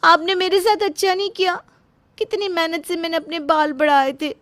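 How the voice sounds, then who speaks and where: A woman playing a high-pitched young daughter-in-law. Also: fairly even intonation, moderate pace, a bit bright. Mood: plaintive. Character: put-upon, plaintive young daughter-in-law.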